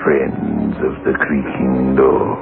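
Creepy horror radio host, super deep creaky voice, ominous, music behind him. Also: male voice, completely flat delivery, exaggerated voice. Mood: sinister, inviting. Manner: slow, ominous, deliberate. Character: sinister, macabre male horror-show host, drily gleeful. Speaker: host and narrator. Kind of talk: 1960s radio horror narration. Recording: lo-fi old radio recording.

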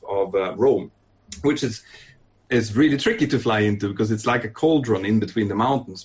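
A man from northern Sweden, an airline training captain, answering interview questions in a relaxed, thoughtful way with fillers and restarts. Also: fairly low pitch. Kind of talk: podcast interview.

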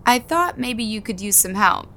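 A woman's voice: a little high, expressive, moderate pace, bright, forceful tone, a little hiss or hum. An actress playing a practical, slightly guarded woman in a naturalistic audio drama, speaking in a matter-of-fact, conversational way.

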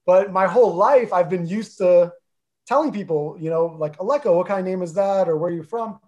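A man with a New Jersey accent, who spoke Armenian first, talking earnestly as an interview guest and pausing often with fillers. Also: high voice, darkish tone, dull audio. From New Jersey, USA. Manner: earnest, thoughtful, with many fillers and restarts. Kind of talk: casual interview talk.

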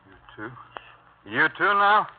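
Simple, volatile brother, old radio. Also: male voice, sits high, very animated, slow, full character voice. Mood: wounded fury. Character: slow, obedient brother, wounded and explosively angry when insulted. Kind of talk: 1950s radio drama acting. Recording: narrow old radio broadcast.

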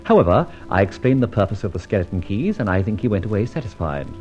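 An actor playing a conceited, teasing gentleman adventurer in a mid-century radio drama, suave and mock-innocent. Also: fairly low pitch, sing-song, quick pace, faint music behind. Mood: smug, narrating. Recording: dull old radio recording.

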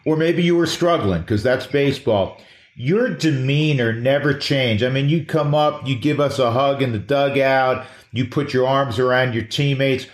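A male host asks long, chatty, wind-up questions in a mid-pitched voice. The room around him gives a clear, roomy echo. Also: normal speed. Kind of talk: conversational interview.

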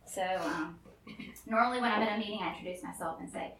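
A woman's voice: mid-range voice, fast, gravelly, fairly bright tone. Nervous woman in an echoey room. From Memphis, Tennessee, US. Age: 30s. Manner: nervous, earnest, laughs often. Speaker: guest storyteller. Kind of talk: personal testimony to a live audience. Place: room with a live audience. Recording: roomy and distant with faint room noise.

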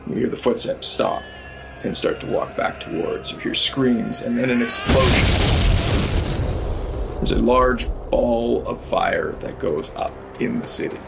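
A man narrating a tabletop game as game master, in an unhurried, halting way. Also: mid-range voice, gravelly, over music.

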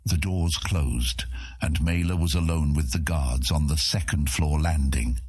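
Very deep, husky male narrator. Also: unhurried, darkish tone, no echo, studio quality. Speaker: audiobook narrator. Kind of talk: dramatic scripted fantasy narration.

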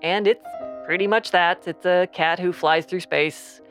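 A woman's voice: fairly low pitch, steady tone, a little slow, a bit bright, soft background music. A woman interviewed as a guest, speaking conversationally in a sheepish, confiding way. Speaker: interview guest, audio drama creator.

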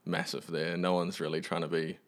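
A man's voice: low-pitched, level tone, a little fast. A young man from Western Australia, a musician guest chatting casually in an understated, matter-of-fact way. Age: young adult. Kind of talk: casual chat.

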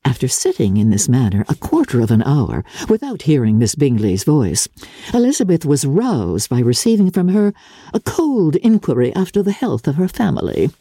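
A woman with a husky voice giving a measured, formal and expressive dramatic reading of classic literature as an audiobook narrator. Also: low voice, no echo, clean studio sound.

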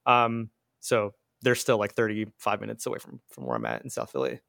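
Podcast guest, man from New Jersey, rapid, eager, dry close mic. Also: fairly low pitch, big pitch swings, creaky, a bit bright, no room sound. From southern New Jersey. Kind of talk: casual podcast interview.

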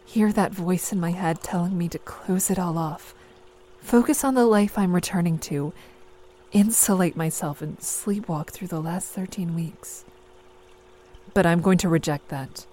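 A reflective and resolute woman crew member on a moon base speaks , played by an actress in an audio drama monologue. Her voice sits a little low, with faint ambient noise behind it. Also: a little slow, warm tone, natural voice. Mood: introspective.

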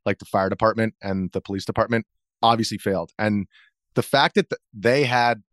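An American man speaking as an interview guest, explaining things in a measured, conversational way. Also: lowish voice, a bit bright, no echo, studio quality. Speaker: interview guest, video journalist.

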